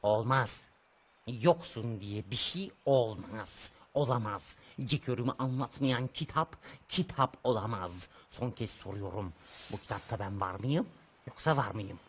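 An actor voicing a stubborn, self-important, childish bug puppet in a children's TV show, repeating himself insistently in a husky voice. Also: male voice, fairly low pitch, animated, unhurried, a little hiss or hum. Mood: indignant. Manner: repetitive, insistent, turns phrases around.

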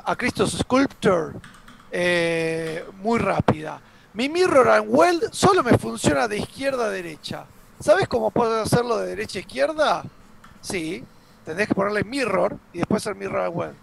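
An Argentine man co-hosts a live stream, reading out chat questions and explaining software. His voice sits high and is heavily gravelly, with a clear steady hiss underneath. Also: moderate pace. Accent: Argentine Spanish. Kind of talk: casual live-stream chat and tech answers.

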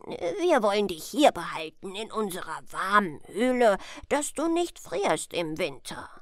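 A kindly little storybook dwarf man, put on by a woman reader. He speaks very slowly and deliberately, sounding sturdy and matter-of-fact. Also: medium pitch, big pitch swings, dry recording, studio quality.

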